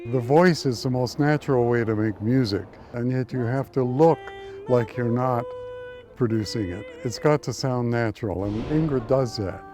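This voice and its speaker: A man in an interview, speaking in a measured, appreciative way about a singer's voice. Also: mid-range voice, music in the background. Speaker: supporter of the singer.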